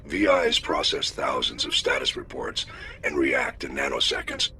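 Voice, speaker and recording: An actor playing a gruff, commanding older admiral in a video game, giving a formal, direct mission briefing. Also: male voice, extremely low pitch, normal speed, pushed and bright, a little hiss or hum, exaggerated voice. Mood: urgent, firm. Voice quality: gravelly.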